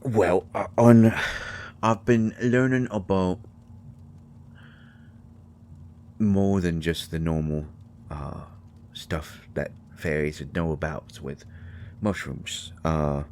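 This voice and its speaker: A man playing a shy, kindly mushroom fairy in a roleplay audio drama, speaking haltingly with folksy, ungrammatical phrasing. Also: low voice, steady tone, a little mellow. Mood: awkward, curious. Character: shy, kindly male mushroom fairy living in magic library. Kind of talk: scripted roleplay audio drama addressed to the listener.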